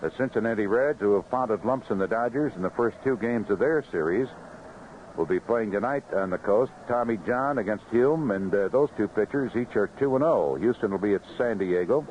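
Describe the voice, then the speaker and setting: American baseball announcer, dull old radio sound. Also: male voice, lowish voice, normal speed. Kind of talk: 1970s radio scoreboard report and sponsor reads. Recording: dull, faintly noisy 1970s AM radio.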